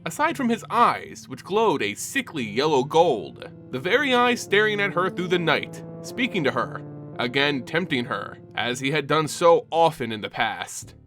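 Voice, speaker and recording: A man hosting and narrating fantasy lore as a scripted, dramatic story in a measured delivery. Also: on the high side, sing-song, pushed and bright. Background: background music.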